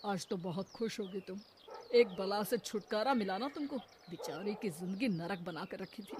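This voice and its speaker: A woman's voice: mid-range voice, moderate pace, lightly acted. A woman voicing an exasperated middle-aged husband and father in a cartoon family drama, weary and chiding. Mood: reproachful. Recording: faint background noise.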